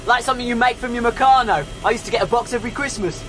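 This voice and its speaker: Matey pilot for radio drama: raised pitch, casual, chatty, faint engine noise. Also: male voice, rapid-fire, fairly bright tone. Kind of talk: BBC radio drama acting.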